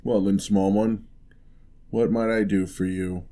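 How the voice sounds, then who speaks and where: Man voicing a slow, mellow, deep gentle giant. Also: level tone, lightly acted. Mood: gentle, wry. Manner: unhurried, soft-spoken, old-fashioned courteous phrasing. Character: huge gentle male giant, courteous, wry, teasing. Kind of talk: ASMR roleplay.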